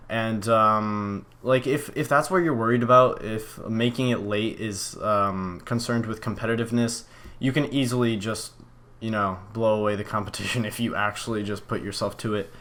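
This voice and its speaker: Relaxed young man rambling through viewer questions. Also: lowish voice. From Poland. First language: Polish. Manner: relaxed, rambling, many fillers and restarts. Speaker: channel host answering viewer questions. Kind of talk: casual Q&A vlog.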